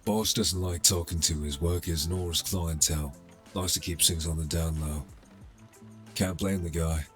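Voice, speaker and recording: A man's voice: low voice, fairly even intonation, moderate pace, a bit bright, soft background music. A man playing a cocky, sardonic criminal middleman in a cyberpunk ASMR roleplay, casual and streetwise, teasing as he talks. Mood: businesslike, streetwise.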